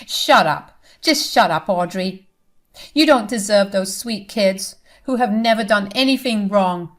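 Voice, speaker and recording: A woman's voice: medium pitch, steady tone, unhurried, lightly acted. A woman doing a furious scolding older mother. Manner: loud, scolding.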